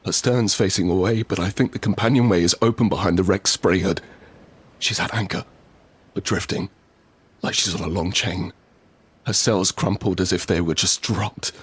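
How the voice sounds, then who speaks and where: A very husky, low voice tells a survival story in the first person as a desperate father. He is brooding and intense, weighing his words with vengeful purpose, as in a post-apocalyptic audio drama. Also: moderate pace. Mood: tense, watchful.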